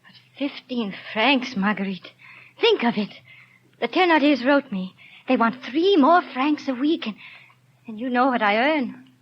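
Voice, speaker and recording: High, anxious young mother. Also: lively intonation, measured pace, a little hiss or hum. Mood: fearful. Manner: fretful, pleading. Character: poor, naive, anxious young working mother. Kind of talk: 1930s radio acting. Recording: muffled 1930s radio recording.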